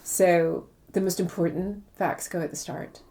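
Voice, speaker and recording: A woman speaks as an interviewed author with a very mellow, warm tone. Her pitch sits a little low, and she explains her points articulately, one step at a time. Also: steady tone, measured pace.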